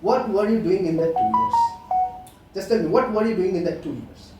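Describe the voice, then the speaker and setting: A man's voice: sits high, very animated, normal speed, over loud music. A man, co-hosting a podcast in casual chat, earnest and lecturing, full of "uh" and "you know". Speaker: podcast co-host. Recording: echoey room, far from the mic, faint hiss.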